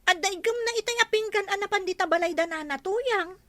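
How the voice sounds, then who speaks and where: A woman playing a lively, gossipy village neighbour in an Ilocano radio comedy drama, with an Ilocano Filipino accent. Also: extremely high pitch, fairly quick, dry recording. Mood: lively, bustling.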